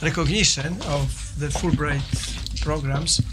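An older man from Poland with a Polish accent, giving a formal presentation and often pausing with fillers and restarts. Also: on the high side, steady tone, noisy background. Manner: halting, with many fillers and restarts. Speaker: visiting scholar presenting. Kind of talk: formal presentation to a small audience. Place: office meeting room.

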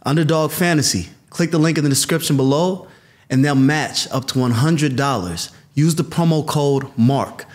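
A young adult man co-hosting a casual podcast, playful and joking, asking questions on a studio boom mic in a high-rise room. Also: moderate pace, darkish tone. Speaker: podcast co-host. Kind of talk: casual chat. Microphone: studio mic on boom arm.

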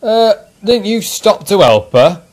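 A man's voice: a little high, a little fast, pitched above the performer's own voice, close to an everyday voice. A man voicing a suspicious, official-sounding policeman in a children's story. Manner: questioning, official. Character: suspicious, businesslike male policeman. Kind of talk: children's storybook character acting.

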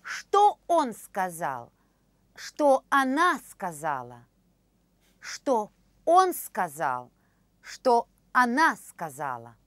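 A woman in her thirties demonstrating phrases for a language course, repeating each one slowly and carefully in a studio. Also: on the high side, huge pitch swings, a bit bright, no echo. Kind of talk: slow, clear phrase demonstration. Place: studio with orange backdrop.

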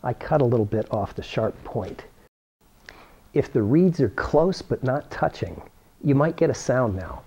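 Man, mid-pitched, soft dark tone, plain-spoken how-to teacher. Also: animated, a little slow, breathy edge, lo-fi sound. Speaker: science toy-making instructor. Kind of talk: how-to demonstration to camera. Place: indoor workroom with cabinets.

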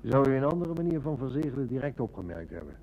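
An actor playing a shrewd, methodical police inspector near retirement in a radio play, with measured, polite questioning. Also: male voice, mid-range voice, very animated, muffled recording, slight background noise. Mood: polite, probing. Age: older. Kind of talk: Dutch radio play acting.

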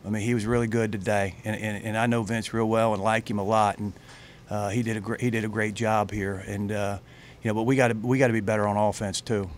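A middle-aged man, a head football coach, answering questions at a postgame press conference in plain, halting speech full of fillers and restarts, into a table mic. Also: on the low side, level tone, slight background noise. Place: press room with branded backdrop. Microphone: table mic on a stand.

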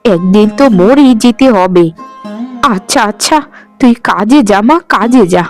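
A woman's voice: mid-range voice, expressive, unhurried, a little mellow. A woman playing a meek, ailing old mother in a cartoon, plaintive and self-pitying. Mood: plaintive, resigned. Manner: plaintive, submissive. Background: faint music.

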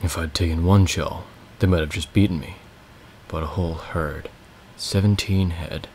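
A man voicing a talkative, raspy male slave with a scraggly beard who boasts about stealing livestock, in a low, flat read. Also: normal speed, slight background noise. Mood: boastful. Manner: chatty, prodding, amused.

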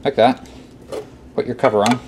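A man's voice: medium pitch, fairly even intonation, normal speed. Man giving hands-on instructions, noisy recording. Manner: step-by-step, repeats himself. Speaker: tutorial presenter. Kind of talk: casual hands-on how-to instruction. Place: indoor workbench. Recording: clearly noisy amateur room recording. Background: clear background noise.

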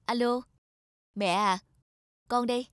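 A woman voicing a kindly, polite young man in an animated moral story, speaking gently. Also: fairly high pitch, takes it slowly, heavy background noise. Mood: casual. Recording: loud music over the dialogue.

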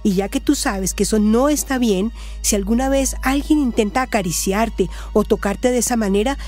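A woman acting a caring Colombian adviser, fairly high voice. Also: moderate pace, a little mellow, soft background music, lightly acted. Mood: firm, earnest. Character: caring, level-headed woman friend who advises mothers. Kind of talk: radio soap opera acting.

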